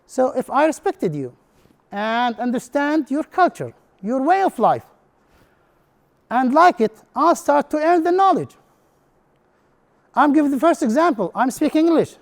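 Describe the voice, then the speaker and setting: A man's voice: very high voice, big pitch swings, unhurried, vocal fry, pauses often. A man whose first language is Arabic, giving a presentation as an instructor in non-native English and putting questions to the audience in a room. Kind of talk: prepared talk to an audience.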